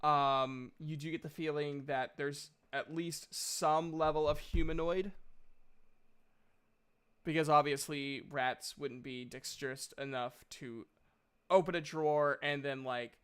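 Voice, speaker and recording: A man casually narrating a tabletop game as game master, halting and thinking aloud as he goes. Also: on the high side, steady tone, fairly bright tone, clean tone.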